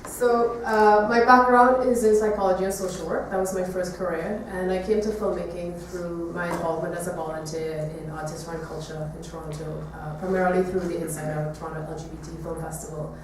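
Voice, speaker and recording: A woman from Trinidad giving an artist talk to an audience, speaking reflectively with hesitant pauses. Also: fairly low pitch. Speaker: visual artist giving a presentation. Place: echoey room, talk to an audience. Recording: echoey room, distant mic, faint noise.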